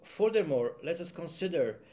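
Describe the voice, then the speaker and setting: Man, Polish accent, speaker at an event, hesitant, lots of fillers, part read aloud, slightly high voice. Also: expressive, moderate pace, warm tone. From Poland. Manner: hesitant, many fillers and restarts. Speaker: concert musician giving a talk. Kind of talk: presentation, partly read aloud.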